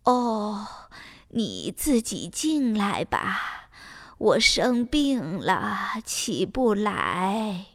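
A woman's voice: mid-range voice, very animated, fairly bright tone, full character voice. A woman voicing a sick, frail old grandmother in a children's fairy tale, weak and weary. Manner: weak, weary, drawn-out speech.

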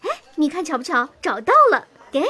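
A woman's voice: high-pitched, lively intonation, fairly quick, a bit bright, faint music behind. A woman playing a playful, affectionate auntie who fusses over children in an audio drama, talking in a cutesy way. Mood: playful, doting.

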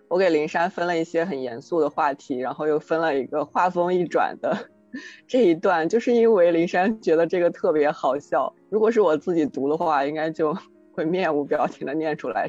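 A woman's voice: low-pitched, a little mellow, dry recording. A woman co-hosting a podcast, chatting casually and reading out book recommendations from listeners. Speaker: podcast co-host. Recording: dull lo-fi sound, faint music behind.

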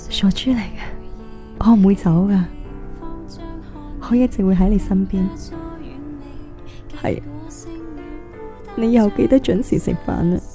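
A woman's voice: medium pitch, unhurried, pauses often, warm tone, lightly acted. A woman playing a tender, tearful young ghost bride in radio drama. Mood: tearful, loving. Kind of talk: Cantonese radio drama acting. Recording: music under the voice.

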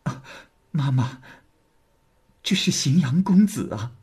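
A man's voice: on the high side, lively intonation, takes it slowly, a little mellow, husky, pitched above the performer's own voice, exaggerated voice. A man voicing a remorseful young woman courtesan who speaks earnestly and pleadingly.

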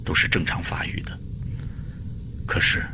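A man acting a desperate middle-aged aerospace engineer in a radio drama, pleading emotionally and haltingly for his child. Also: in a whisper, natural voice. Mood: anguished, pleading.

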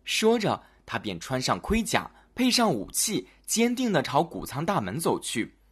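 Children's storyteller, animated swooping pitch, slightly husky voice, expressive fairy-tale narration. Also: a little slow. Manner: expressive, dramatic delivery for children.

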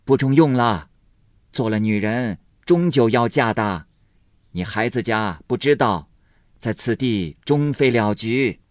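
A man's voice: medium pitch, animated, unhurried, dry recording, clean studio sound, natural voice. A man doing an aloof old grandmother. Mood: dismissive. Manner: cool, dismissive, weary.

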